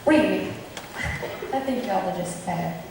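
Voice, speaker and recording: A woman's voice: medium pitch, lively intonation, a little slow, creaky. Woman as cheeky maid, very echoey stage. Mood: teasing. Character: cheeky, teasing, outspoken lady's maid. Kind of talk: amateur stage acting of Shakespeare comedy. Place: amateur stage performance in a reverberant room. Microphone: distant recorder. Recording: very echoey hall, distant mic, faint noise.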